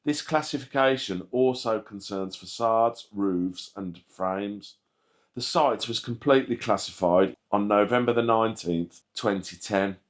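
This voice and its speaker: A man reading a scripted history narration aloud in a measured, factual manner. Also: fairly low pitch. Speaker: channel host narrating a history video.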